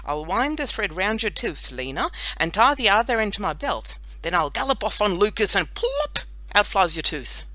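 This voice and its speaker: A woman's voice: mid-range voice, huge pitch swings, moderate pace, hardly any pauses, pushed and bright, no room sound. A woman voicing a cocky, scheming little farm boy, boastful and eager, in a children's audiobook. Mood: eager, confident.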